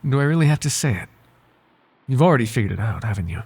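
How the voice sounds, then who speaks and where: Male actor as devoted, courtly butler for ASMR roleplay; soft-spoken, husky, slightly gravelly, politely flirtatious, prone to hesitation. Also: mid-range voice, animated, fast talker. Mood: fond, flirtatious. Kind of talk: ASMR roleplay voice acting, intimate one-sided dialogue.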